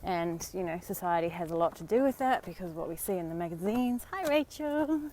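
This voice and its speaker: Slightly hoarse Australian woman, chatty. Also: lowish voice, lively intonation, fairly quick, darkish tone, a little hiss or hum, rough recording. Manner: chatty, encouraging, many fillers. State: slightly hoarse from a sore throat. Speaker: vlogger and dating coach. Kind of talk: casual live-stream talk. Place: outdoors on a walk.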